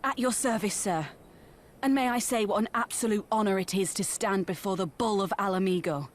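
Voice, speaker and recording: An actress playing a respectful, frank young resistance soldier in a video game, speaking with military formality. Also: female voice, medium pitch, fairly quick, close to an everyday voice. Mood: deferential. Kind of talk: video game drama acting.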